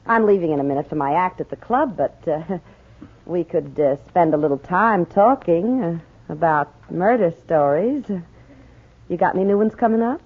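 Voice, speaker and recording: A woman's voice: fairly low pitch, animated, normal speed. A woman playing a brassy, flirtatious nightclub singer with slangy, drawling talk in a 1940s radio drama. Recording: muffled, narrow-band 1940s radio recording.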